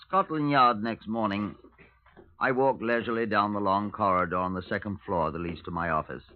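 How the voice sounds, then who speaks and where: An actor playing a brisk, wry British Scotland Yard superintendent in a 1950s radio crime drama, authoritative and dry. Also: male voice, fairly low pitch, close to an everyday voice. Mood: composed, friendly. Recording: tinny, noisy old radio recording.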